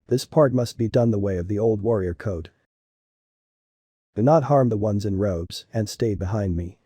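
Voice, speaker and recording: Husky, low-voiced alien warrior. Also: male voice, dark tone, no room sound, clean studio sound. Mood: guarded. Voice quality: husky, gravelly. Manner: formal, measured, solemn phrasing. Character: stoic alien warrior exile, principled and formal.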